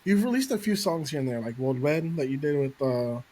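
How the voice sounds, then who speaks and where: A male podcast host with a somewhat high speaking pitch. He chats casually with his guest, hyped about the music and dropping swear words freely. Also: lively intonation, a little fast, darkish tone. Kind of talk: casual interview chat.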